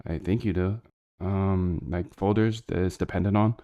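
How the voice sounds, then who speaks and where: A man's voice: low-pitched, fairly even intonation, moderate pace, dark tone, lo-fi sound. A man streaming as he works on his screen, rambling and slangy, talking to chat as he goes. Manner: rambling, slangy, talks to chat while working. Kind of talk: casual screen-share chat.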